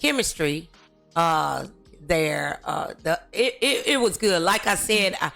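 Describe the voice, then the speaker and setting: A woman hosting a talk show, chatting in a familiar, colloquial and halting way with exclamations. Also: lowish voice, animated, creaky, sharp tone, faint music behind. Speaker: talk show host interviewing a guest. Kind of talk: casual, familiar interview chat.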